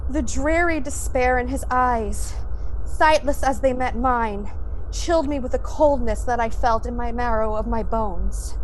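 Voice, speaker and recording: An actress playing a cold, obsessive experimenter, narrating formally and intensely in the style of an old time radio horror drama. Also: female voice, on the high side, measured pace, a little hiss or hum, close to an everyday voice, rough recording. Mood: tense dread.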